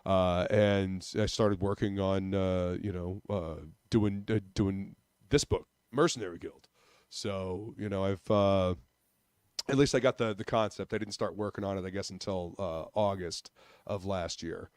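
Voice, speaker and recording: A man's voice: low-pitched, steady tone, measured pace, a little mellow, clear, resonant tone, no echo, studio quality. A man from northern Indiana chatting casually on a podcast, rambling with many fillers and restarts. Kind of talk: casual chat.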